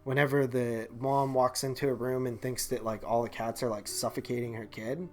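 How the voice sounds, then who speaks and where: A man's voice: mid-range voice, little pitch movement, moderate pace. A man co-hosting a movie podcast in casual, slangy chat, often dropping in "like" and "man". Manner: slangy, informal, heavy use of "like" and "man".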